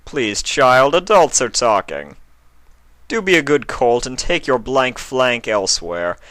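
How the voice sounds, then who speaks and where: A man's voice: mid-range voice, very animated, a little slow, a bit bright, no room sound, voice pushed up. A man voicing an arrogant, haughty male unicorn prince villain in a pompous, sneering manner for a cartoon fan story.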